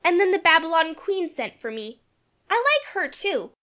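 Man doing a high, lively, chatty little girl for an audiobook. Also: moderate pace, full character voice. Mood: eager. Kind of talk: audiobook character voice.

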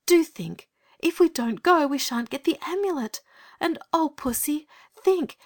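A woman acts a kind young girl from a storybook. Her voice is high and she speaks earnestly, in a coaxing, peacemaking way. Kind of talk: dramatized children's audiobook.

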